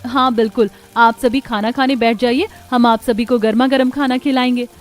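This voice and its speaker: A woman's voice: fairly high pitch, steady tone, normal speed, close to an everyday voice. A woman voicing a friendly, helpful, sociable village woman in a cartoon story.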